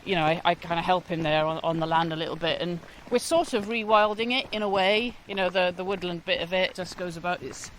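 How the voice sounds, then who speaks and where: A woman's voice: fairly low pitch, fairly bright tone, a little hiss or hum. Thoughtful Welsh woman on a hill walk. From Wales. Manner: thoughtful, hesitant, often pauses mid-phrase. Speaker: guest musician. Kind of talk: casual outdoor interview.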